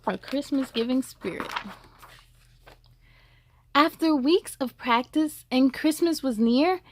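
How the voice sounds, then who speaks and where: A woman hosting a children's story time, reading expressively with animated asides to young listeners. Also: sits high, takes it slowly, slight background noise. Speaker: host of children's read-aloud story time.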